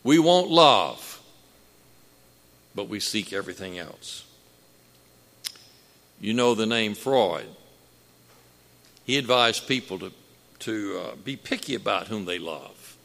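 A man preaching a sermon with a booming voice, slow and emphatic, building in force. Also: expressive, vocal fry, fairly bright tone. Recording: long hall reverb.

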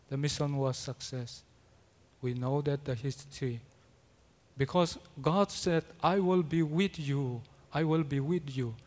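A man who is not a native English speaker preaching a sermon, in halting English with frequent restarts. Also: fairly high pitch. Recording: long hall reverb.

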